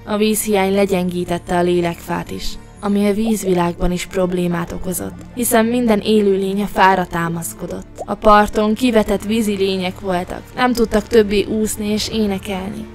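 A woman narrator reading a scripted fairy tale for an animated story. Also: medium pitch, hardly any pauses, faint music behind.

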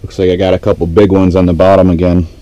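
A man giving low-key, casual running commentary to himself as he fishes, recorded on a body-worn action camera. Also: little pitch movement, fast, no echo. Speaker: fishing vlogger. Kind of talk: casual running commentary while ice fishing. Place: small ice-fishing shelter on a frozen lake, sometimes out on open ice. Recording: dark muffled sound, background noise.

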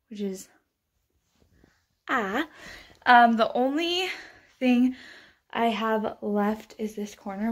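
A woman's voice: mid-range voice, a little mellow, husky. A young woman filming a chatty clean-with-me vlog in her bedroom on a phone camera, bubbly and a little out of breath. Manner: bubbly, chatty, says "like" often. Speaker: vlogger. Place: small bedroom, indoors. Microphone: phone camera moved around the room.

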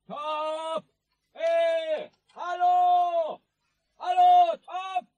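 An actor playing a village policeman who calls out urgently, shouting from afar in a 1950s radio play. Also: very high voice, flat delivery, very slow, no echo, full character voice. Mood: urgent calling. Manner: shouting, calling out.

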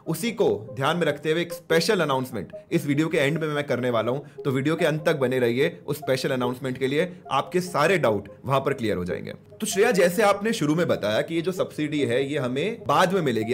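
A man in his thirties with an Indian accent, hosting a conversational interview on a close studio mic and mixing in English words. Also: mid-range voice. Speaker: host interviewing an expert. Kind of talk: conversational explainer with Hindi-English mix. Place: indoor studio. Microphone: close studio mic on boom arm.

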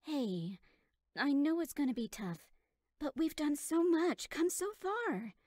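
A woman's voice: sits high, very animated, slow, a little mellow, dry recording, clean studio sound, voice pushed up, exaggerated voice. A woman voicing a determined, encouraging young cartoon hero in an earnest manner. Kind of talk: character voice reel, cartoon acting.

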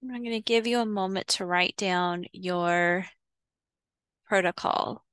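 A woman leading a guided visualization in a soothing, instructional way, pausing between short phrases. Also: on the low side, fairly bright tone, clean tone, no room sound. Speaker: guided meditation host. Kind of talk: guided visualization, meditation narration.

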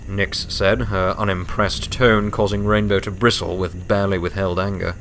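A man's voice: low voice, flat delivery, moderate pace, fairly bright tone, slight background noise. A man reading scripted story narration as an audiobook narrator.

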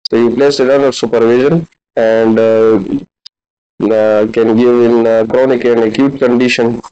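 A man in his thirties giving a halting, instructional practical demonstration as a physiotherapy teacher. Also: mid-range voice, level tone, mellow, clear, resonant tone. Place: large indoor classroom clinic room. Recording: dull, lo-fi camera audio.

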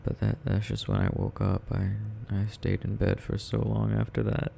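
A man's voice: fairly low pitch, little pitch movement, normal speed, gravelly, warm tone, a little hiss or hum. A young man playing a sleepy, needy, affectionate boyfriend in an intimate ASMR roleplay, murmuring drowsily and tenderly close to the microphone. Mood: drowsy, affectionate.

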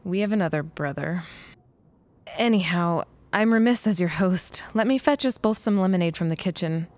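An actress as a young schoolteacher in a period audio drama, with a lowish voice. She sounds sensible and matter-of-fact, with a dry wit. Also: moderate pace, darkish tone, close to an everyday voice. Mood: dry, sociable.

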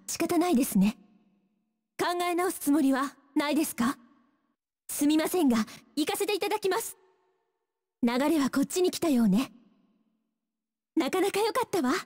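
A young female warrior in a fighting game, very high and animated. She is proud and courteous, throwing out crisp battle declarations with theatrical flair, in a long reverb.

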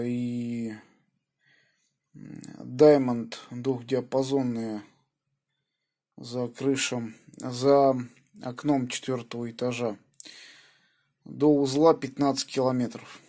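A man's voice: medium pitch, darkish tone. A man chatting casually as an amateur radio operator, spelling call signs with names. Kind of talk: casual ham radio contact. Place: indoors at a home radio desk.

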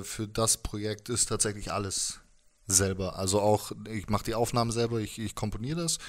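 A man in his thirties with a fairly low voice gives a casual interview. He speaks in a halting, reflective way, close to a handheld mic in a living room. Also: a bit bright. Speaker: musician being interviewed. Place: living room with instruments, indoors. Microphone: handheld microphone held close.

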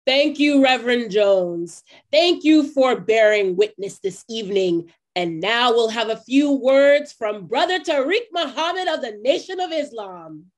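A woman plays an earnest activist speaker in a stage drama. Her voice is high, and she speaks as if addressing a gathering. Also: animated, unhurried, hardly any pauses. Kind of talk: staged play reading.

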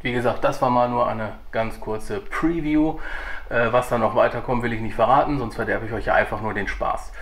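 A man's voice: medium pitch, steady tone, brisk, fairly bright tone. A man, around his forties, talking informally and a little hesitantly as a vlogger explaining his work. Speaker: vlogger and audio drama producer. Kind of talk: casual vlog explanation.

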